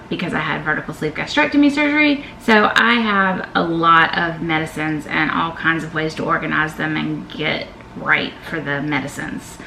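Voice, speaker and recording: A woman, talking openly as she reads out questions and answers them for her vlog. Her voice sits a little low and has a gravelly edge. She records in an echoey room with a faint hiss behind her. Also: sharp tone. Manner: chatty, candid, reads each question aloud then answers. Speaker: vlogger. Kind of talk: casual Q&A vlog.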